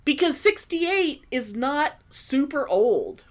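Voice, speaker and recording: High-voiced woman in her 50s, swears a lot, bouncy lively delivery, casual podcast chat. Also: slow. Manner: profane, animated storyteller. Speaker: podcast co-host.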